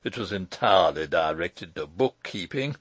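Pompous old gentleman, played by a male reader: fussy, pedantic, aggrieved, lively pitch swings, dry close sound. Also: moderate pace, dry recording, dull audio. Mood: bitter, pedantic. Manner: pedantic, formal, long-winded, hesitating with ums.

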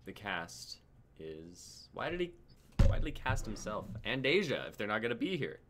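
A man in his twenties with a low voice and a lively, swinging melody. He hosts casually, and there is clear noise on the call. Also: normal speed. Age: 20s. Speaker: host of a script-reading stream. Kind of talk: casual hosting. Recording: noisy video call audio.

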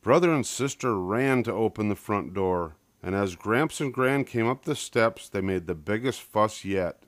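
An older man narrates a children's storybook. His voice sits low, and the recording is dry, with hardly any room around it. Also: lively intonation, measured pace, few pauses, no echo. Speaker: storybook reader.

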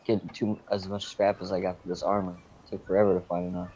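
A man's voice: low voice, little pitch movement, fast, warm tone, muffled recording. A man talking casually while playing an online roleplay game. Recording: faint clicks and keyboard noise.

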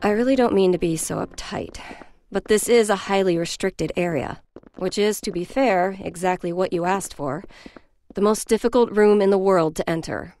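An actress playing a skeptical government aide in an animated game, with a crisp, formal, matter-of-fact delivery. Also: female voice, mid-range voice, normal speed, close to an everyday voice. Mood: dry, official. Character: brisk, businesslike, skeptical female government aide. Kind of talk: dubbed animated game cutscene acting.

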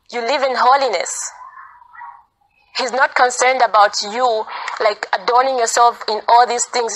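A woman's voice: fairly high pitch, fairly bright tone, low-quality recording. A woman giving an informal, insistent sermon, repeating phrases for emphasis. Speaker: preacher. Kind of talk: informal sermon, earnest exhortation.

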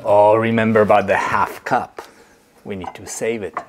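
A middle-aged man, teaching slowly and deliberately while he demonstrates in a home kitchen. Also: on the low side, little pitch movement, slight background noise. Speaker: movement teacher. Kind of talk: instructional demonstration.

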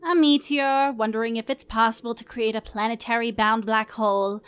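A woman's voice: a little high, steady tone, moderate pace, fairly bright tone, clear, resonant tone, close to an everyday voice. A woman as a stately immortal pony princess. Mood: calm, philosophical. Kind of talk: fan fiction audio drama.

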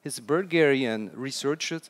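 A middle-aged man with a French accent giving an animated conference talk to an audience, chatty and now and then restarting his sentences. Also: medium pitch, normal speed. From France. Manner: animated, chatty, laughs at own jokes, some hesitant restarts. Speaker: conference speaker. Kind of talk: keynote talk on storytelling. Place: large indoor conference stage.

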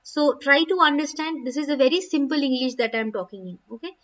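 A woman's voice: sits high, fast, fairly bright tone. A young woman teaching an English literature class online, patiently going over quiz answers and calling on students by name. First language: not Hindi. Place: small room at home. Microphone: laptop webcam mic. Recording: dull, distant laptop audio.

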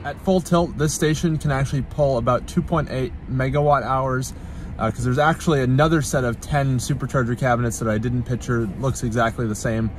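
A man's voice: mid-range voice, steady tone, fairly quick. A young American man narrating a casual road-trip vlog in a matter-of-fact way. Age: young adult. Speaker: vlogger. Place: in a car and outdoor parking lots. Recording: noisy car and outdoor ambience.

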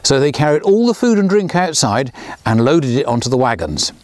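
Animated man reading a storybook. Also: fairly quick, no echo. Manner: lively storyteller, lifts pitch for characters' lines. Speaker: children's author reading his book. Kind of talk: storybook read-aloud. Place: outdoors beside a miniature railway.

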